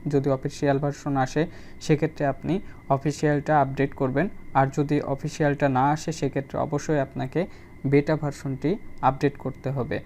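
A man's voice: fairly high pitch, darkish tone, a little hiss or hum. A man giving a plain, step-by-step phone tutorial as a channel host. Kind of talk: spoken phone tutorial voice-over.

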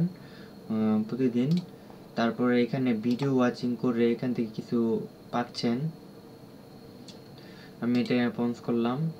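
Flat-toned Bangladeshi man, noisy lo-fi recording. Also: mid-range voice. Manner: halting, repetitive, instructional. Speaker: tutorial presenter. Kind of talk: unscripted screen-recorded app walkthrough. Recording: dull lo-fi audio with clear background noise.

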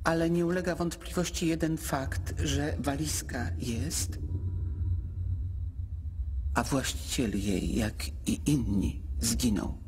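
An actress playing an anguished, worn-out museum guide in a radio drama, speaking haltingly and dramatically with long pauses. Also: female voice, a bass voice, darkish tone, slight background noise. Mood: grave, sombre.

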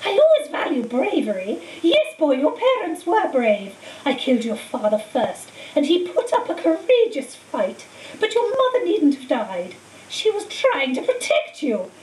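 Woman doing a cold, hissing dark lord. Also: high voice, wildly swooping pitch, pitched above the performer's own voice, full character voice. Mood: taunting. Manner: slow, menacing, shrieks commands. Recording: echoey room, distant mic, faint hiss.

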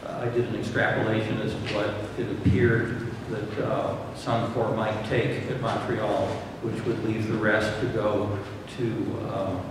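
Panelist man, low voice, explanatory, recorded from far off in a roomy hall. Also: unhurried, few pauses. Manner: explanatory, relaxed, with many fillers. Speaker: panelist answering audience questions. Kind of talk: conference Q&A answers. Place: conference hall panel table. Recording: far-off mic, echoey hall, background noise.

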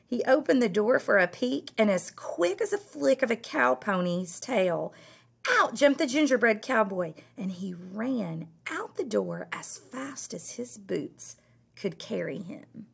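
A middle-aged woman, a teacher, reading a children's story aloud in an expressive, emphatic way. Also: fairly high pitch, unhurried, gravelly, breathy edge. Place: indoor school classroom.

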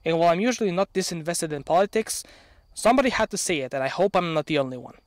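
A young man speaks in a fairly high, light voice into a handheld mic out in an open field. His delivery is earnest and measured, slipping into dry, sardonic asides. The sound is close and dry, with no room around it. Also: expressive, dry recording. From Bosnia and Herzegovina. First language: Serbian. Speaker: channel owner. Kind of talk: prepared opinion speech. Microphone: handheld microphone.

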